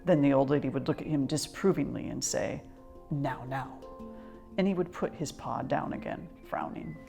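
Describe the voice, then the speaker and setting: Low-voiced woman reading a children's story. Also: fairly quick, vocal fry, over music. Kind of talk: children's picture book read-aloud.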